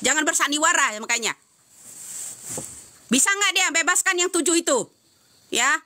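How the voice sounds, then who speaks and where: A woman's voice: sits high, animated, normal speed, bright, forceful tone, a little hiss or hum. A woman giving heated live-stream commentary, answering comments with insistent, repeated rhetorical questions.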